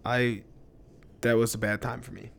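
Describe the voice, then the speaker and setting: A man's voice: medium pitch, level tone, normal speed, gravelly, a loud crowd. A young adult man co-hosting a casual chat, teasing bluntly and swearing freely. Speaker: podcast co-host.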